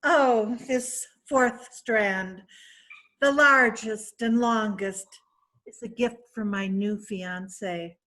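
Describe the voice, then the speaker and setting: A woman's voice: a little high, takes it slowly, a bit bright, low-quality recording. A woman reading Mrs. Courtney Page, chatty and proud. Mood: boastful. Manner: chatty, self-satisfied. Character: wealthy worldly woman proud of her fiancé. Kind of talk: readers theatre play reading.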